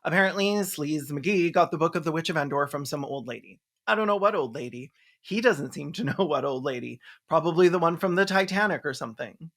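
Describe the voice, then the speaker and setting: A snarky film reviewer with a medium-pitched voice. The delivery is campy, sarcastic comic commentary, made for a video essay. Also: fairly quick, hardly any pauses, a bit bright. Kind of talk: comedic movie commentary.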